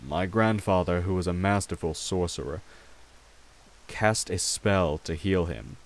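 A man voicing a courtly, protective vampire king in an ASMR roleplay, gentle and wry, speaking formally and reassuringly to the listener without hurry. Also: deep voice, measured pace. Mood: storytelling.

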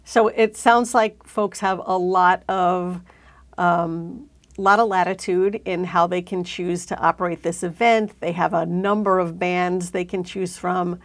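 A woman's voice: on the low side, steady tone, unhurried. A middle-aged woman hosting a conversational interview, recorded indoors in a studio on a lapel mic. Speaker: interview host. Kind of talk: conversational interview on a hobby show.

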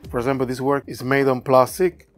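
A man's voice: medium pitch, level tone, faint music behind. Cuban-accented man musing on his art. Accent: Cuban Spanish. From Cuba. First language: Spanish. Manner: thoughtful, with halting non-native grammar. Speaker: artist. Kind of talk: reflective talk about his art. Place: cluttered indoor studio workshop.

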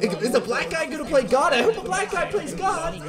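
A man voicing an excitable, bickering teenage schoolboy in a fan-made anime dub, exclaiming and repeating himself. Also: extremely high pitch, moderate pace, recorded from a distance, loud music behind the voice, over a noisy crowd. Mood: giddy excitement.

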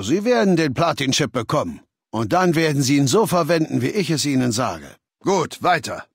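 Imperious male warlord, video game dialogue, medium pitch, dry close sound, commanding, haughty, cold. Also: no echo. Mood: coolly approving. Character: imperious, cold warlord dictator of a slaver legion.

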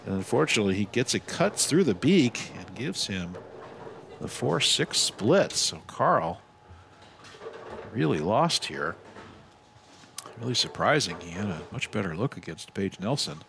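A man doing live bowling commentary, giving measured analysis with excited calls on shots. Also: fairly low pitch, vocal fry, a little hiss or hum. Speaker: sports commentator.

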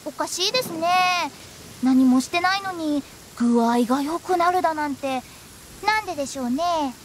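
A woman's voice: high voice, normal speed, a little hiss or hum, natural voice. A woman playing a cheeky, theatrical young woman who loves pranks, in anime game style. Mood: teasing. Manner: playful, theatrical, teasing.

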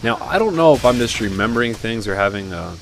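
A man giving relaxed, chatty commentary over a video game playthrough, with frequent asides and fillers. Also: medium pitch, fast talker, noisy background. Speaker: game guide narrator. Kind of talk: casual gameplay commentary. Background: game music and gunfire.

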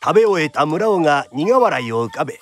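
A man's voice: a little high, very animated, brisk, faint music behind. A man narrating a period radio drama like a theatrical storyteller.

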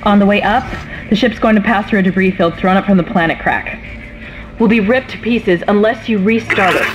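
An actress playing a sharp, suspicious computer specialist on a starship in a video game, brisk and pointed. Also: female voice, medium pitch, muffled recording, a little hiss or hum, close to an everyday voice. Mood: urgent. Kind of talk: video game dramatic acting.

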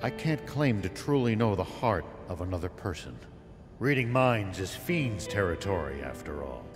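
Solemn, expressive old veteran. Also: male voice, deep voice, normal speed. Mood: solemn conviction. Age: older. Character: stern, principled, compassionate old veteran. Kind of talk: video game voice acting. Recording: long reverb under music.

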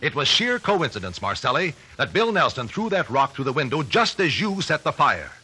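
A righteous American attorney in an old radio drama. His declamatory voice is sharp and forceful, with a husky edge and sweeping pitch. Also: sing-song, normal speed, heavily acted. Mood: accusing, relentless. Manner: formal, declamatory. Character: forceful, righteous male attorney confronting a culprit in court. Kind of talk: 1950s radio acting.

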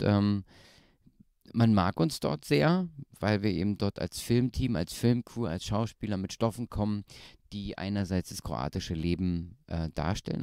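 A man in his thirties talks easily through an interview, his voice low and mellow. He hesitates often with fillers and restarts, staying relaxed. The handheld mic sounds dry and close. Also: creaky, clean tone, dry recording. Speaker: actor interviewee. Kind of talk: casual interview answers. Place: small indoor room with pale walls. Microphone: handheld microphone.

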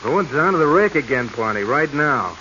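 Greedy treasure diver, domineering, insistent, 1940s radio acting, hissy dull recording. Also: male voice, on the high side, big pitch swings, moderate pace, bright, forceful tone. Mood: commanding, impatient. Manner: bossy, insistent.

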